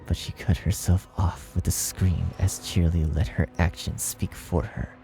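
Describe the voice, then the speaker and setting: A man reading a dark fan fiction story aloud as scripted, dramatic narration. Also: deep voice, creaky. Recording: music behind the narration. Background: music.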